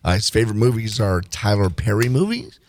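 A man's voice: fairly low pitch, sing-song, fairly quick, a little mellow, slight background noise. A man co-hosting a radio show, relaying what he found in a casual chat, recorded in a studio.

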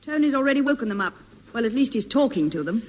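A woman's voice: on the high side, lively intonation, quick pace. An actress playing a practical woman, the dry foil in a 1950s British radio comedy. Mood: dry, wondering. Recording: faintly noisy vintage radio recording.

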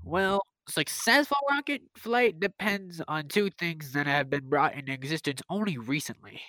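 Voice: medium pitch, moderate pace, sharp tone, dry recording, lightly acted. An amateur player acting an eager, proud scientist-inventor in an old-time radio serial, explaining things in wordy technical detail. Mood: lecturing.

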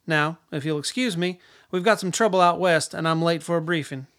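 A man acts out a haughty government secretary for a radio play. His voice is high and bright, and he speaks in florid, arch phrases with dry condescension. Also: brisk, natural voice. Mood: brisk, superior. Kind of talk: radio drama acting.

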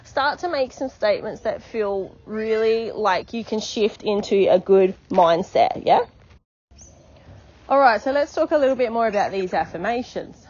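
A woman's voice: medium pitch, expressive, moderate pace, a little mellow, muffled recording. A woman life coach giving an encouraging, repetitive motivational podcast talk, often checking in with "okay?".

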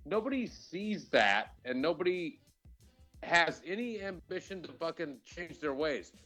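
A man's voice: fairly high pitch, animated, a little slow, bright, forceful tone, soft background music. A man co-hosting a casual talk show, giving his opinions in a blunt, emphatic way full of swearing. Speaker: podcast co-host. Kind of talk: casual, heated opinion chat.

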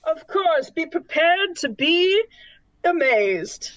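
A woman's voice: very high-pitched, sing-song, takes it slowly, fairly bright tone, muffled recording, pitched above the performer's own voice, full character voice. A woman voicing a gallant, flirtatious, smitten female adventurer in a tabletop game, with theatrical courtliness. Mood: showy.

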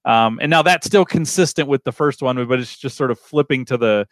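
A man's voice: mid-range voice, a little fast, no echo. Casual male host, dry close sound. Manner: informal, loosely rambling. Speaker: discussion host. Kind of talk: casual conversational film discussion.

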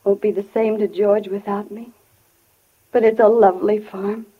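A woman's voice: medium pitch, level tone, normal speed, breathy edge, natural voice. An actress playing a wistful young wife and mother who has died and yearns for life, in 1940s radio acting. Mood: yearning, insistent. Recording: dull vintage 1940 radio recording.

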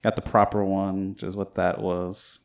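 A man's voice: deep voice, flat delivery, normal speed. A young man chatting casually with friends, hesitant and rambling, with lots of fillers. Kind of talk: casual chat among friends.

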